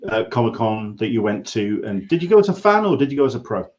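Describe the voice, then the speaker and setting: A man's voice: mid-range voice, sing-song, fast talker, a little mellow. A man with an English accent hosting a casual interview, telling anecdotes in a rambling, conversational way. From England. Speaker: host interviewer. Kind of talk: casual livestream interview chat.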